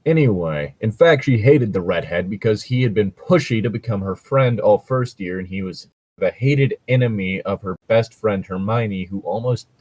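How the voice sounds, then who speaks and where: A man's voice: on the low side, normal speed, a little mellow, no echo. A man in casual commentary chat, opinionated and argumentative, swearing freely as he talks. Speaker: co-host reading and reacting to fan fiction.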